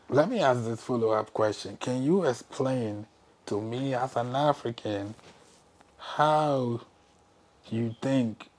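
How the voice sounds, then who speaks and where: A man's voice: on the low side, a little mellow. A man from Ghana hosting an interview, asking probing follow-up questions between short murmurs of agreement. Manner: probing, brief affirming murmurs. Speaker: interview host.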